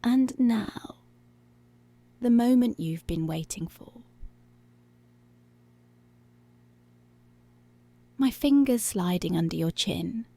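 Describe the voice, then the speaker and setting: Seductive, controlling policewoman played by a woman for ASMR roleplay: mellow, creaky, half-whispered, teasing, close-miked. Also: mid-range voice, expressive, a little slow. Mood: intense, seductive. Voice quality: breathy, often slipping into whisper. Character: dominant, commanding, seductive policewoman. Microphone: close microphone.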